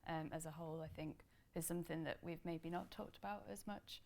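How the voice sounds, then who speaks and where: Gravelly-voiced woman researcher answering hesitantly. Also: low voice, fairly even intonation, fairly quick, darkish tone. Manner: hesitant, many ums. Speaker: researcher on the panel. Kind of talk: answering a panel question.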